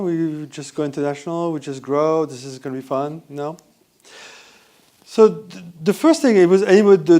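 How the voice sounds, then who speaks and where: A man with a French accent presents on stage at a conference, his voice pitched a little higher than most men's. He talks in a lively, conversational way and keeps asking the audience for answers. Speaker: conference speaker. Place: hotel event room.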